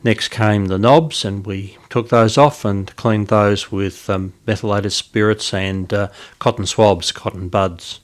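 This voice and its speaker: Aussie guy, middle aged, lowish voice, talking thru a hobby project kinda slowly feeling for words. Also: fairly even intonation. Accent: Australian. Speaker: hobbyist presenter. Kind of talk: informal narrated restoration walkthrough.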